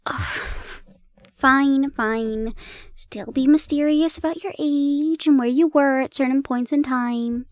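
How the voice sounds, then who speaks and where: A young woman in a playful roleplay, chatting warmly to the listener and reading an old story aloud, giggling and stumbling over old place names. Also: high voice, takes it slowly. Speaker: audio roleplay performer.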